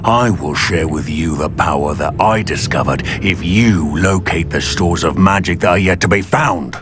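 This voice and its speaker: An actor playing a ruthless goblin rebel leader in a video game, with a deep, menacing voice and an imperious, threatening manner. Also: male voice, moderate pace, a bit bright, soft background music. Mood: cold menace. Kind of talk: video game character acting.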